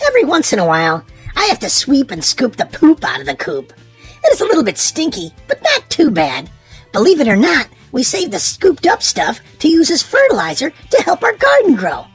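A woman reads a children's storybook aloud in an expressive, sing-song way, leaning on key words for young listeners. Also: sits high, normal speed, soft background music.

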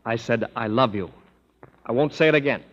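An actor playing an earnest, gently witty young man proposing marriage in a 1930s radio drama. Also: medium pitch, a little fast, lightly acted. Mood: tender. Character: earnest young suitor Felix, warm and witty. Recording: muffled old radio broadcast in a hall.

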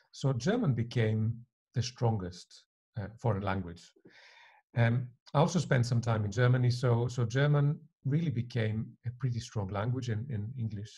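A middle-aged man from Greece, a native Greek speaker, giving reflective interview answers as a professor with a slightly husky voice. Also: medium pitch, dark tone. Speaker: university professor being interviewed. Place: attic living room at home.

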